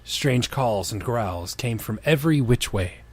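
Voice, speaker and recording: A man's voice: mid-range voice, animated, unhurried, slightly rough. A man doing an informal dramatic reading of fan fiction, with casual, teasing asides. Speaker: reader on a comedy reading show.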